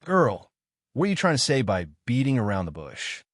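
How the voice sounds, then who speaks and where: A man's voice: mid-range voice, big pitch swings, moderate pace, dry recording, clean studio sound. A man voicing a brash, cocky young male fantasy hero in an audiobook, exclaiming and scoffing as he talks. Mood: scornful. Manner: exclamatory, scoffing, self-interested.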